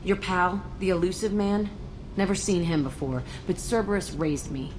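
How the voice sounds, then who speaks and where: A woman's voice: fairly low pitch, normal speed, background noise, natural voice. An actress playing a blunt, bitter, foul-mouthed young female ex-prisoner in a video game. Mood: bitter, guarded. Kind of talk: video game character dialogue.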